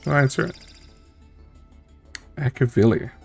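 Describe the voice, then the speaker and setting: A man's voice: mid-range voice, a little slow, vocal fry, breathy edge. A man streaming a story game, reading its text aloud and adding casual, musing reactions as he thinks it through. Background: game music.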